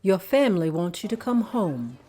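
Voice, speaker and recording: A woman's voice: mid-range voice, very animated, moderate pace, warm tone, close to an everyday voice. A woman reading the part of a kind piano teacher who sends her student home. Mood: kindly.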